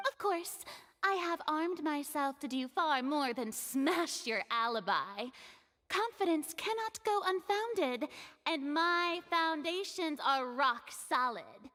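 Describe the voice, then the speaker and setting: Theatrical young female deity, extremely high voice, slow grand delivery, pompous courtroom showmanship, video game character. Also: big pitch swings, pushed and bright, full character voice. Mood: smug, confident. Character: theatrical, haughty young female hydro archon presiding over a trial. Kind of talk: dramatic video game voice acting.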